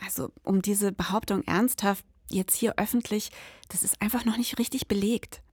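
A woman's voice: mid-range voice, fairly even intonation, normal speed, a little mellow, slightly rough, no room sound, studio quality. A woman hosting an investigative podcast, speaking as a narrator and investigator.

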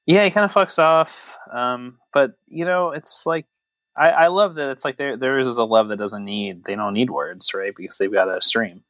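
A man's voice: mid-range voice, normal speed, a bit bright, dry recording. A man co-hosting a podcast, chatting casually about films in a wry, mock-serious way. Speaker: podcast host. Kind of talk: casual joking film chat.

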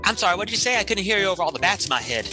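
A woman's voice: mid-range voice, big pitch swings, rapid-fire, over loud music, natural voice. A woman playing a folksy, exasperated person plagued by bats in the head, in an improvised spoof radio commercial. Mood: befuddled. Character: frantic bat-plagued folksy sufferer scolding bats in head.

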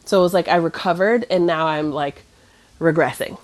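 A woman's voice: sits low, moderate pace. A woman in her thirties chatting casually, sounding stuffy and nasal from a cough, rambling with many fillers and restarts. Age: 30s. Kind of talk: casual chat.

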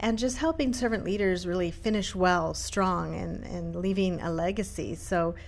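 A woman's voice: fairly low pitch, moderate pace, vocal fry. A woman hosting an interview, introducing her guest in an upbeat way with casual fillers. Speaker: host, institute president.

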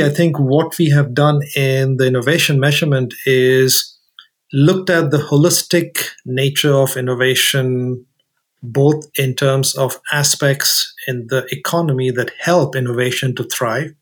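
A man's voice: mid-range voice, fairly even intonation, measured pace. A man speaking as an expert interview guest, explaining things methodically and setting out his points in order.

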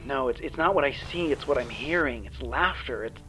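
A man's voice: mid-range voice, animated, a little fast, bright, forceful tone, soft background music, rough recording. A man playing a frightened ship's engineer, alone and cornered, nervous and stammering, in a science fiction audio drama. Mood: fearful.

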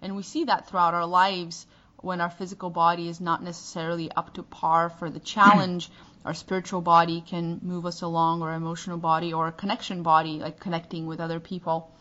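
A woman's voice: fairly low pitch, flat delivery, a little fast, bright, forceful tone, dull audio. Bulgarian-accented woman hosting a podcast. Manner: thoughtful, searching for words, restarts often. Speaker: podcast host. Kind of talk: podcast interview.

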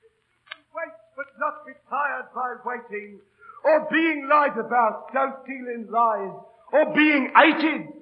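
High, husky down-at-heel busker. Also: male voice, slow, heavily acted. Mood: declamatory. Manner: working-class colloquial speech, stammering protests. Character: down-at-heel middle-aged busker, proud yet beaten, pleading, theatrical. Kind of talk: 1930s-40s radio drama acting. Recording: old radio broadcast, phone-like narrow band.

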